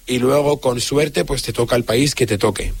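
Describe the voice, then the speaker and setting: A man's voice: medium pitch, slightly rough. Earnest young man from Syria. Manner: earnest, halting with fillers and restarts. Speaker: guest. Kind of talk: radio interview, personal story.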